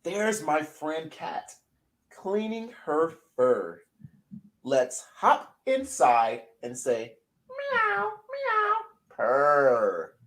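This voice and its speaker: A man voicing a sick, stuffy-nosed little horsefly who is gentle and sweet, reading a children's picture book aloud in a hoarse, sniffly voice. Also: fairly high pitch, huge pitch swings, very slow, full character voice. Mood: singsong.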